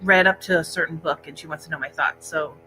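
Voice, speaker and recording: A woman's voice: sits low, steady tone, quick pace, pushed and bright, soft background music, poor audio. A woman co-hosting a casual book discussion livestream, talking conversationally indoors. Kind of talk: casual chat about books. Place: indoor library room, speaking into a laptop set-up.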